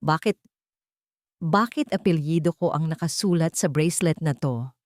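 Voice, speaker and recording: A woman's voice: low voice, normal speed, no room sound, clean studio sound, close to an everyday voice. Pleading young Filipina housemaid, voiced by a woman. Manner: pleading, hesitant, deferential. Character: anguished young housemaid mother, pleading and respectful.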